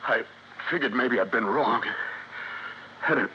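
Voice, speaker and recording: An actor playing an ailing, agitated drunken husband in a radio drama, speaking in halting fragments. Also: male voice, mid-range voice, lightly acted. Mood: weak, shaken. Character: sick, agitated, drink-sodden husband. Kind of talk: hardboiled radio drama acting. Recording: dull, noisy old radio recording.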